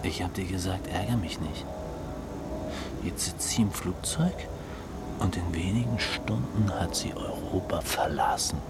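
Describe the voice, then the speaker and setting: A man's voice: deep voice, measured pace, over loud music. An actor playing a smug, coolly menacing blackmailer in a radio drama, mocking and falsely friendly as he drawls at his rival. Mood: gloating. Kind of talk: German radio drama acting.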